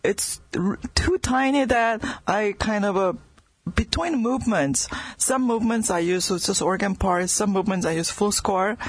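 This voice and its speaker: A middle-aged woman with a Korean accent chats easily in a radio studio, laughing often between her words. Her voice is a little husky, and the sound is close and dry. Her English carries small grammar slips from her first language. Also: mid-range voice, normal speed, no room sound. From South Korea. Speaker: guest organist. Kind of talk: casual radio interview.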